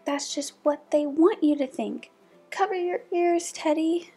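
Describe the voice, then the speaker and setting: A woman's voice: high voice, measured pace, dark tone, soft background music, pitched above the performer's own voice. A woman voicing alarmed, bossy townspeople in a children's storybook, with urgent, dramatic warnings. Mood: panicked. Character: alarmed, bossy townspeople and mayor in a puppy-fearing town.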